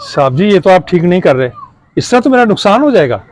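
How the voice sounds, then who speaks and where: High-voiced crooked mechanic played by a man. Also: big pitch swings, a little fast, a little mellow, no room sound. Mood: insistent, put-upon. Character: crooked, glib motorbike mechanic, shop boss. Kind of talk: acted moral-story skit.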